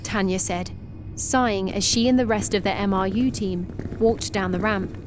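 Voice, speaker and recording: A woman reading a science-fiction story aloud in a steady, descriptive way. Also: medium pitch, normal speed, noisy background. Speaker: narrator. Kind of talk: scripted story narration.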